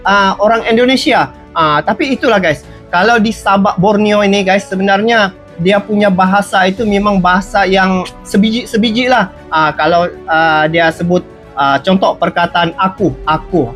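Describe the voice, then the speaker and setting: A woman with a Sabah Malaysian accent hosts a casual reaction video. Her voice sits at an average pitch and she talks conversationally, straight to her viewers. Light music plays behind her. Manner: conversational, addresses viewers directly. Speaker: reaction channel host.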